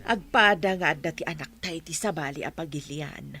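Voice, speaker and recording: A woman's voice: low voice, normal speed, sharp tone, slightly rough, full character voice. A woman with an Ilocano accent playing a worried village mother who nags her husband in a radio drama. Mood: worried, gossiping.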